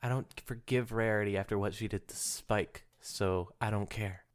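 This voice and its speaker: A man's voice: fairly low pitch, steady tone, normal speed. A young man co-hosting a podcast with casual, joking, teasing banter. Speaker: podcast co-host.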